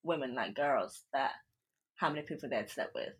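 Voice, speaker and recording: Husky-voiced frank young woman. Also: extremely low pitch, lively intonation, brisk. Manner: frank, teasing, uses "like" a lot. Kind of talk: casual chat.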